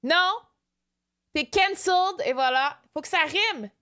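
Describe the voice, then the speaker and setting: Slow, high-voiced Québécois woman streamer. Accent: Québécois French. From Canada. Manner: animated, switches to excited squeals, mixes in English. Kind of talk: casual live-stream chat, reading chat aloud.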